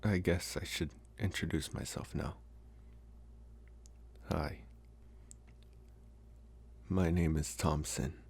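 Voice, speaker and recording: A man playing an earnest, smitten young college vampire in a halting, intimate ASMR roleplay, with a soft, breathy voice. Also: very deep voice, exaggerated voice. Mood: awkward, sincere. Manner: halting, hesitant, unhurried.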